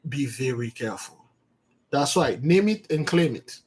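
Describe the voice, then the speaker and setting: Animated man preaching to his followers. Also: mid-range voice, a little slow. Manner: animated, repeats words, calls out to listeners. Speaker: spiritual teacher. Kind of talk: informal spiritual talk to followers.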